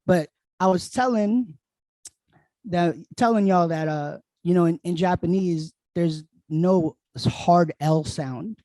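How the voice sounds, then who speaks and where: A man's voice: high-pitched, warm tone, no echo. A man from Queens, a guest DJ chatting casually and laughing easily on a podcast, talking into a handheld mic. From Queens, New York. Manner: laid-back, laughs often, drawn-out explanations. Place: small bright room at home. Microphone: handheld dynamic mic held close.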